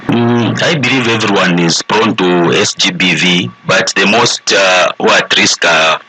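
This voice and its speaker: A man with a Zambian accent answering as a guest in a radio discussion, speaking deliberately with short pauses. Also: low voice, bright, forceful tone, slightly rough, poor audio. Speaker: studio guest. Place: radio studio. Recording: radio broadcast, voices in background.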